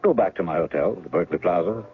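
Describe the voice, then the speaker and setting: Wry, self-mocking novelist; lowish, animated voice; phone-thin old radio. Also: male voice, fast talker, husky. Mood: rueful. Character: rueful blocked novelist in disguise. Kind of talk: 1970s radio drama acting. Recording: narrow 1970s radio broadcast, faint music.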